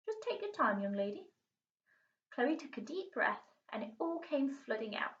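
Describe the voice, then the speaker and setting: A woman teacher reading a children's storybook aloud in an expressive storytelling style. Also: fairly high pitch. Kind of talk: storybook narration. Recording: roomy, distant, muffled home recording.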